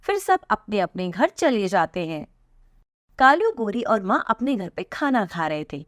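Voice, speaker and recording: A woman's voice: mid-range voice, big pitch swings, a little slow, no echo, clean studio sound. A woman narrating a scripted children's moral story.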